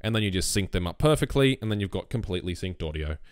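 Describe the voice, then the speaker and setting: A young man with a bassy voice explaining his recording setup in a relaxed, rambling way, close on a USB condenser mic on a boom arm. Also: fast talker, clean tone, no room sound. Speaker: YouTube gaming creator. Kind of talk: casual screen-recorded tutorial.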